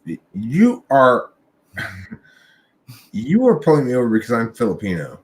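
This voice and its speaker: Young guy rambling thru a road trip story, swears a lot, middle pitch. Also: huge pitch swings, measured pace, darkish tone. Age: young adult. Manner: rambling, profane storytelling with laughs. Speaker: podcast host. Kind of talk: casual livestream chat.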